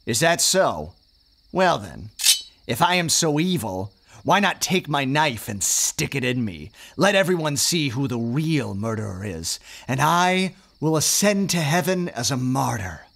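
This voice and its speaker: A man playing a fanatical, self-righteous reverend who leads witch hunters, preaching slowly and menacingly in an audio drama. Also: medium pitch, sing-song, vocal fry, a bit bright, full character voice. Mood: defiant, self-righteous.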